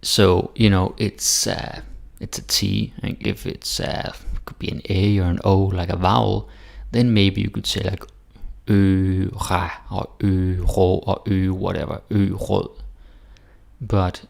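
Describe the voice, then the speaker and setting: A man with a Danish accent walks through pronunciation drills, repeating each word for learners. His voice sits very low, with a gravelly edge. He talks slowly and casually. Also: flat delivery. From Denmark. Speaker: language teacher. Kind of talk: pronunciation lesson.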